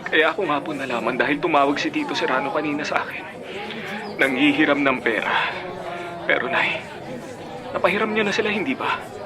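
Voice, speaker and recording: A man's voice: fairly high pitch, fairly quick, long pauses, close to an everyday voice. A man with a Filipino accent playing a practical, sceptical but polite grown son in a radio drama. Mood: concerned. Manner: questioning, polite. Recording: music and outdoor crowd sounds behind.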